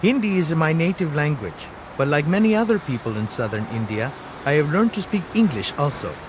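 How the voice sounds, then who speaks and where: A man's voice: fairly high pitch, animated, a little fast, natural voice. A man playing a friendly, talkative tuk-tuk driver in a scripted role-play interview, exclaiming about the traffic. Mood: chatty.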